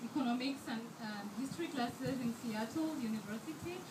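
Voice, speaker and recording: A woman's voice: a little high, brisk. A woman in her twenties from Rwanda giving an earnest speech at a fundraiser, with many fillers and restarts. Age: 20s. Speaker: scholarship student giving a speech. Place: event hall. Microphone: handheld microphone held too far away. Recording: echoey hall, distant mic, background noise.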